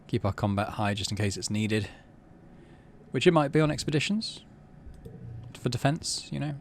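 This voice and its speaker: A man's voice: mid-range voice, animated, moderate pace, slight background noise. A middle-aged man giving casual commentary as he plays a video game. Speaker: let's play host. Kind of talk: casual game commentary and reading text aloud.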